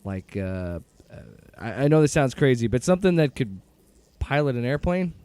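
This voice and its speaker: An actor playing a gruff, determined agency director in an audio drama, talking bluntly and casually with some hesitant word-searching. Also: male voice, mid-range voice, very animated, normal speed, warm tone, no echo, close to an everyday voice. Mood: earnest.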